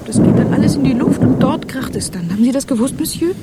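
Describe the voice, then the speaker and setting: High-voiced coy young mademoiselle. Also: female voice, lively intonation, quick pace. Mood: whimsical. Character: coy, whimsical young Parisian mademoiselle of 1917. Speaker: radio play actress. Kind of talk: German radio crime drama acting. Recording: noisy, with rain and thunder.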